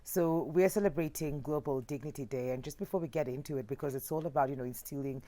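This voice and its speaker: Lively woman TV host, low voice. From Kenya. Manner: lively, teasing, quick to laugh. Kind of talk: studio interview. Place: TV studio.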